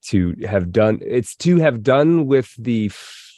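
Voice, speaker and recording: A man's voice: medium pitch, big pitch swings, slow, warm tone, dry recording, studio quality. A man co-hosting a podcast, chatting casually with wry, laid-back humour. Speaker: podcast co-host.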